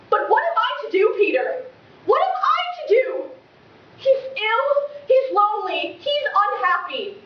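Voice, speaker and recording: A woman acting a distraught, pleading aristocratic mother in a melodramatic classical stage monologue with dramatic pauses. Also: sits high. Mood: anguished. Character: distraught, pleading aristocratic mother losing her beloved home. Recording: distant mic, echoey room, dull, faint noise.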